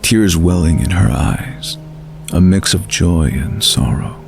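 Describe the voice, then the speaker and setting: A man narrating a scripted fantasy story in a measured, dramatic storytelling manner. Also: low-pitched, animated, gravelly, over music.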